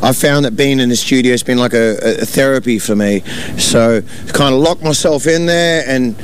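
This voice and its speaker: A man's voice: mid-range voice, normal speed, a little hiss or hum. A man being interviewed as a DJ and producer, speaking casually with many restarts and fillers. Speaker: DJ and producer guest.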